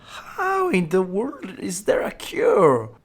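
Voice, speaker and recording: A woman voicing a brash, incredulous young male gangster sidekick in an audio drama, blunt and excitable. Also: mid-range voice, slow, breathy edge, full character voice. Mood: stunned disbelief. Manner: blunt, swearing, stammers in disbelief. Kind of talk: scripted audio roleplay acting.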